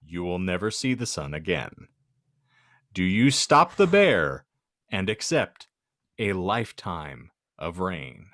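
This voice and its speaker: A man's voice: low voice, very animated, slow pace, fairly bright tone, no room sound, studio quality. A man hosting a podcast, reading out a comic hypothetical and bantering in a wry, wordy, mock-formal manner. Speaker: podcast host.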